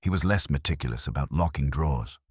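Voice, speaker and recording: Male narrator as composed spice salesman, very low voice, neat polished phrasing, audiobook detective story, dry sound. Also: animated, fast talker, breathy edge, no room sound, heavily acted.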